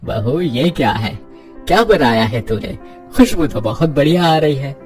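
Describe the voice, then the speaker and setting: A man's voice: mid-range voice, animated, moderate pace, faint music behind, lightly acted. Man acting a poor old cartoon father-in-law. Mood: curious. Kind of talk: scripted cartoon story dialogue.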